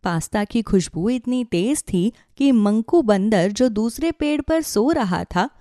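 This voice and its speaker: A woman narrates a children's story in a soft-edged, mellow voice. Her pitch sits a little high and moves in a lively way. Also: measured pace, no echo, studio quality.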